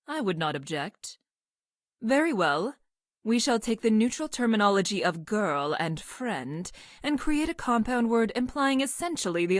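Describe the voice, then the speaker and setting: A woman's voice: on the low side, no echo, studio quality, lightly acted. A woman voicing a clinical, awkwardly smitten female scientist-assassin from the future in a video game, speaking in a stilted, formal way with precise diction. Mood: flirtatious, clinical. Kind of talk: video game character voice lines.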